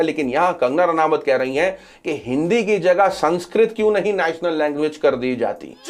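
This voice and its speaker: Male news commentator, pitched slightly high, explanatory update style with emphatic repetition. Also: a little mellow. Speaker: social media news commentator. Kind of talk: news commentary sharing updates to camera or over screen.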